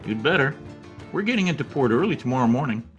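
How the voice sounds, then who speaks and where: A man's voice: mid-range voice, big pitch swings, fast, dull audio, faint music behind, lightly acted. A man playing a pompous, scheming Latin diplomat in old-time radio drama style, theatrical in manner. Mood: offhand, irritated.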